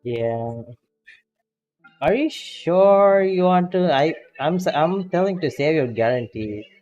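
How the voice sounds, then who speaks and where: A man's voice: fairly high pitch, animated, takes it slowly, darkish tone, dull audio, faint music behind. A man streaming a game as a VTuber, chatting casually with a friend, laughing often and reacting to game results. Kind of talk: casual gaming stream chat.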